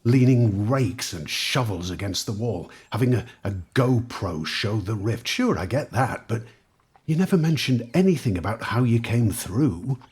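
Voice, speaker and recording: Rough-voiced, suspicious angry man. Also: mid-range voice, animated, a little slow, a little mellow. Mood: suspicious, accusing. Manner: sweary, interrogating, sarcastic. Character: suspicious, angry time-travelling man, sarcastic and accusing. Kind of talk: naturalistic audio drama acting.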